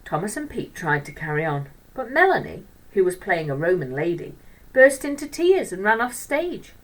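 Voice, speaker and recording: A woman storyteller reading a children's book aloud in an expressive narrating style. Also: on the low side, moderate pace, far from the mic, rough recording.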